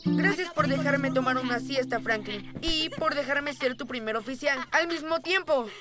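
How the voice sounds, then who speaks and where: A woman playing a gentle, good-natured young boy bear in a cartoon dub, with a mild, hesitant, childlike manner. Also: sits high, normal speed, over loud music, natural voice. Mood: refreshed, grateful. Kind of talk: dubbed children's cartoon acting.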